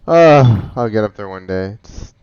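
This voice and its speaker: A man's voice: lowish voice, lively intonation, a little slow, darkish tone, clear, resonant tone. A man giving casual, chatty gameplay commentary, reacting aloud and muttering asides. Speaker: gamer. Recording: dull lo-fi mic with faint noise.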